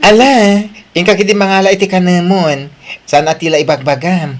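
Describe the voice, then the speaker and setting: A man's voice: high voice, steady tone, normal speed, close to an everyday voice. A man playing a hearty, welcoming colleague in an audio drama. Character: hearty male colleague welcoming new friends.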